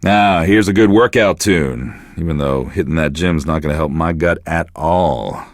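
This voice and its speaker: An actor playing a depressed, divorced middle-aged radio DJ in a game, deadpan, sardonic and self-deprecating as he hosts. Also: a bass voice, normal speed, no echo, studio quality. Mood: self-pitying, dry. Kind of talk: radio show hosting, comic self-pitying banter.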